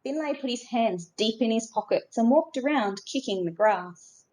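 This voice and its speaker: A woman narrating a children's storybook expressively, in a measured way with dramatic emphasis. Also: fairly high pitch, animated, darkish tone, rough recording. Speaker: storybook reader.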